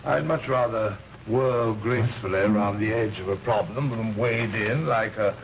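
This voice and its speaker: A man's voice: lowish voice, moderate pace, lightly acted. An actor in an old radio drama playing an urbane alien recruiter, polite and pompous, hesitating and rambling as he talks. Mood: genteel, rambling. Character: urbane, genteel alien recruiter posing as an Earthman. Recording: muffled, hissy old radio recording.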